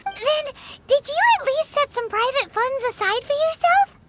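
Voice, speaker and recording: A tiny game fairy with an extremely high, squeaky child's voice. The delivery is excitable and dramatic, swooping up and down, with loud music playing behind it. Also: female voice, moderate pace. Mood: eager. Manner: exclamatory, expressive. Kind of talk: video game character acting.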